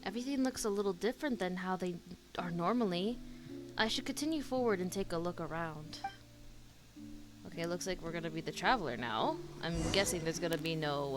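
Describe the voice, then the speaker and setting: A woman streaming a game, reacting out loud in a casual, expressive chat style. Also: lowish voice. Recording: game music behind the voice.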